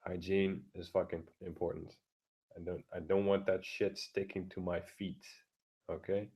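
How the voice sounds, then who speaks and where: A man with a very low, deep voice holds his volume down so the neighbors won't hear through the walls. His pitch stays flat and monotone while he rants crudely and bluntly, swearing freely. He stumbles along with lots of fillers and restarts. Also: breathy edge. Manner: keeps his voice down, talking quietly; halting, many fillers and restarts. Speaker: vlogger. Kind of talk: casual profane rant.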